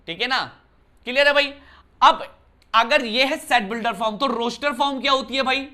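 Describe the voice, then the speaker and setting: A man from Uttarakhand teaching a maths lesson indoors in a chatty style, often checking with his students whether it is clear. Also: extremely high pitch, normal speed, sharp tone. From Uttarakhand, India. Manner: conversational, keeps asking "clear, brother?". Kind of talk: classroom lesson explanation. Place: indoor room.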